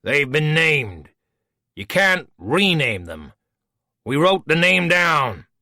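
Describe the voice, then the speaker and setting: A taunting, boastful male villain in a storybook, put on by a man reading aloud. The voice is pushed higher and louder than the reader's own, with wide, lively swings. Dry, close recording. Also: takes it slowly, dry recording, heavily acted. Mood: insistent. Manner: taunting, mocking.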